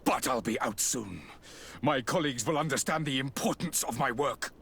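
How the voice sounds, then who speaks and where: A man's voice: medium pitch, normal speed, creaky, a bit bright, breathy edge, exaggerated voice. An actor playing a cold, arrogant male scientist in a game, condescending and theatrical. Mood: defiant. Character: cold, arrogant male doctor defending his cruel experiments.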